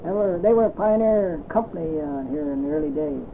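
A man's voice: a little high, fairly quick. A man interviewing an old-timer in an oral history recording, hesitant and full of fillers. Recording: tinny old tape with faint hiss.

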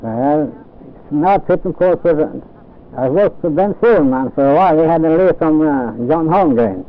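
A man in his seventies, recalling his early years in a halting, plain-spoken way during an oral history interview. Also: fairly high pitch, animated. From Norway. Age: 70s. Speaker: interviewee, old-time pioneer. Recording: tinny old tape with faint hiss.

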